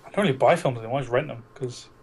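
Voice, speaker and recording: A man's voice: mid-range voice, very fast, gravelly, slightly rough. A man co-hosting a podcast, chatting casually and bluntly about films, with frequent swearing. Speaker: podcast co-host. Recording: noisy amateur podcast recording.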